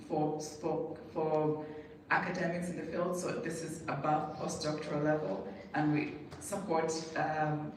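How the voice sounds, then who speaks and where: A speaker from Tanzania giving a measured public presentation with pauses, as a university programme representative. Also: medium pitch. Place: echoey event hall with audience. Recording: echoey room, distant mic, clearly noisy.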